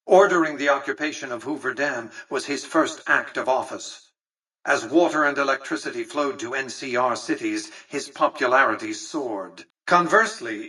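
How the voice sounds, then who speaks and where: An actor playing a calculating, condescending old tycoon in a video game, speaking in formal, precise, pompous sentences. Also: male voice, medium pitch, pushed and bright, breathy edge, far from the mic, poor audio. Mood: calculating, cold. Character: imperious, calculating old male tycoon ruling a city. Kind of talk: scripted video game dialogue.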